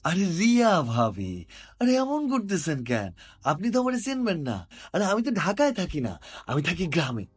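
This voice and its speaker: An overfamiliar village visitor in a film drama, with a high, very animated voice and a Bangladeshi accent. He is insistent and colloquial, heard at some distance from the mic over music. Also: brisk. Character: pushy, overfamiliar male village visitor posing as old friend. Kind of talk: naturalistic short-film drama acting.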